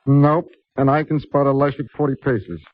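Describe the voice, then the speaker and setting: A man's voice: mid-range voice, moderate pace, lightly acted. An actor playing an easygoing, plain-spoken male barkeep in a 1950s radio drama, slangy and offhand. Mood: offhand, chatty. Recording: muffled old radio broadcast.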